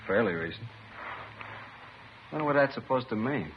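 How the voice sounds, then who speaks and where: An actor playing a musing, doubtful investigator in a 1950s radio police drama, speaking in short, laconic remarks. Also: male voice, medium pitch, animated, normal speed, natural voice. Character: musing, doubtful male investigator at a murder scene. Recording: dull, hissy vintage 1950s radio recording.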